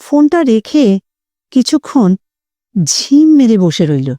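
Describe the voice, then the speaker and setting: A woman narrating an audio story in an expressive, suspenseful, dramatic scripted style. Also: fairly high pitch, slow pace, no room sound, clean studio sound.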